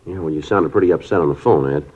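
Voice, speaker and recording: An actor playing a terse police detective who narrates in a clipped, deadpan, matter-of-fact manner, in a 1950s radio drama. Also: male voice, very deep voice, quick pace, slightly rough. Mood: calm, steady. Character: terse male police detective, middle-aged. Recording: dull lo-fi 1950s radio broadcast.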